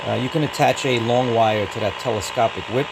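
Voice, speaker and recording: Man, mid-pitched voice, casual reviewer, matter-of-fact, short clipped phrases, noisy home-made recording. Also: brisk. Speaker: radio hobbyist reviewer. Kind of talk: casual unboxing and product review. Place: indoors.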